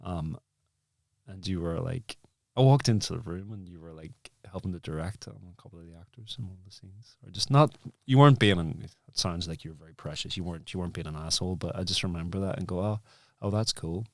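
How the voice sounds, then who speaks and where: A man hosting a relaxed conversational interview, thinking aloud in a halting way and circling back over his sentences. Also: low voice, gravelly, no echo. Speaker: interview host, filmmaker.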